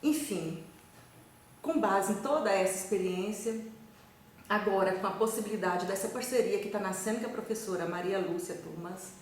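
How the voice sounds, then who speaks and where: A professor with a Brazilian accent speaks in a calm, measured way, asking one rhetorical question after another. She is a woman of middle pitch, and her voice sounds far from the mic in a very echoey room with a faint hiss behind it. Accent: Brazilian Portuguese. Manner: measured, reflective, poses many rhetorical questions. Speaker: university professor. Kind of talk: recorded talk to a conference.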